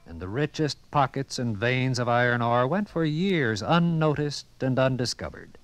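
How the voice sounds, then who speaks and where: A man's voice: mid-range voice, animated. Formal male radio narrator over music. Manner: formal, measured announcer delivery. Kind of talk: 1950s radio documentary scripted narration. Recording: vintage radio broadcast with music.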